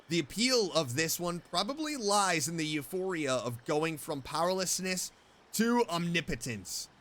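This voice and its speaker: A man streaming a game, reading story text aloud in an expressive way and reacting to it. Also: a little high, slight background noise. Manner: expressive, animated.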